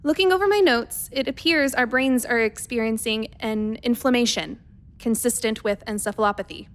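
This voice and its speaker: A woman's voice: fairly high pitch, moderate pace, natural voice. A woman playing a methodical, worried spaceship doctor dictating a research log in a radio play, clinical and precise but hesitant. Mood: clinical, measured.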